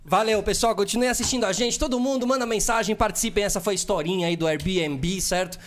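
A man's voice: sits high, quick pace. A Brazilian man hosting a casual podcast interview, chatty and upbeat. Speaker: podcast host.

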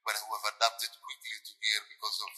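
Middle-aged somali-born guy on a podcast, husky bright voice, keeps asking you understand. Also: fairly low pitch, completely flat delivery, fast, low-quality recording. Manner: emphatic, rhetorical, asks "you understand?". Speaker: podcast guest, spoken word poet. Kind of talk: casual interview talk.

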